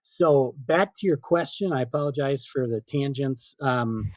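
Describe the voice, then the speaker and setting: A middle-aged man, a PA announcer being interviewed, telling casual anecdotes in an animated way and quoting remembered dialogue. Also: mid-range voice, a little slow, dry recording, dull audio. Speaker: stadium PA announcer, interview guest.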